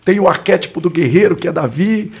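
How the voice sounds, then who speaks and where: A man's voice: sits high, lively intonation, a little fast, a little mellow, slightly rough. A Brazilian man in his forties, a pastor, telling his life story in a podcast interview in a reflective way, often searching for words. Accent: Brazilian Portuguese. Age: 40s. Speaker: pastor and guest.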